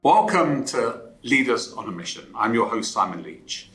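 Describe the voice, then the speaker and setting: A man hosting an interview show with a bright, forward tone and a lively, up-and-down delivery. He restarts his words a little as he sets up his questions. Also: medium pitch, normal speed, faint music behind. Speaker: podcast host.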